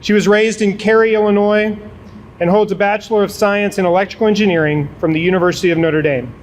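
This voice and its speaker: A man acting as emcee, reading a formal introduction of the speaker in a measured way. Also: high voice, slight background noise.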